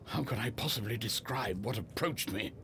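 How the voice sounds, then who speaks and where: A man's voice: mid-range voice, moderate pace, fairly bright tone, lightly acted. An actor playing a stuffy, reticent male solicitor in a period audio drama, speaking in a formal, pompous, hesitant way. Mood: uneasy. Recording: clear background noise.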